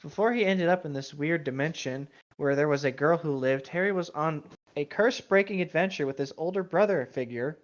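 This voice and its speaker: A podcast co-host chatting casually and reading a story aloud mockingly, blunt, exasperated and quick to swear. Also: medium pitch. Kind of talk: casual banter and mock fanfiction reading. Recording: dull, lo-fi microphone sound.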